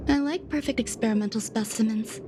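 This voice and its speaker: A woman playing a serene, aloof genius scientist in a video game, speaking in a measured, formal, gently detached way. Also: fairly high pitch, very noisy, close to an everyday voice. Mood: calm, clinical. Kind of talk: video game character acting. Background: music.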